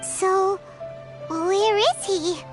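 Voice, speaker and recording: A woman voicing a tiny, perky childlike fairy companion in a video game, squeaky and excitable. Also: very slow, heavily acted. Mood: curious. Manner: excitable, exclamatory. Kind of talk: English video game voice acting. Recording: game music behind the voice.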